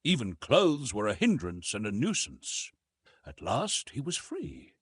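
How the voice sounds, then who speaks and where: A man narrates an adventure audiobook with wide, expressive swings of pitch. His voice is a little husky at a medium pitch, and the recording is close and dry. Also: unhurried, no echo. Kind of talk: scripted literary narration.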